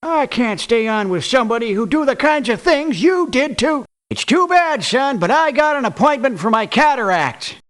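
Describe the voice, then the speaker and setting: A man's voice: extremely high pitch, lively intonation, normal speed, hardly any pauses, a bit bright. A man voicing a crusty, condescending old war veteran mercenary in a video game, with a folksy, patronizing delivery. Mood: dismissive, grumbling. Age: older. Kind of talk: video game character voice lines.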